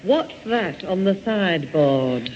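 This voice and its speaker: Firm, lively-voiced exasperated mother. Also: fairly low pitch, takes it slowly. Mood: brisk. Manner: firm, chiding. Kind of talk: old-time radio comedy acting. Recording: dull vintage radio recording, clear hiss.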